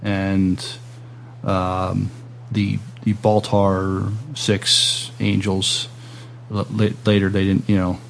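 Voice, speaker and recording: A man's voice: low voice, completely flat delivery, slow, creaky, a little hiss or hum. A man hosting a casual podcast interview, speaking in a hesitant, agreeable way. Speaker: podcast host.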